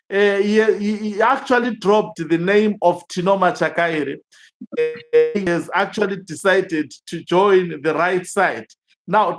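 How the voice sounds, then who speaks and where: Hesitant news show host. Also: mid-range voice. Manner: hesitant, many fillers and restarts in long questions. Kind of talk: news interview questions.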